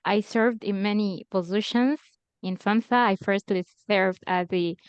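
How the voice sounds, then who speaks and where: Smooth-voiced woman on online call. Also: medium pitch, a little slow, dry recording, lo-fi sound. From Sudan. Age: young adult. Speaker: guest speaker, intern doctor. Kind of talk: self-introduction on an online call. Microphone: online call microphone.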